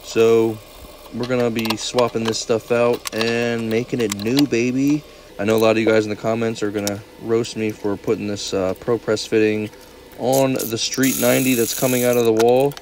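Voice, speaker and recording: A man's voice: medium pitch, fairly even intonation, normal speed, darkish tone. A young man working as a plumber, chatting casually and teasing his viewers while filming himself on a job inside a house. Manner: playful, teasing, calls viewers "baby". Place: basement and tiled bathroom in a house, indoors. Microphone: phone held or worn, first-person view. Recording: noisy job-site recording.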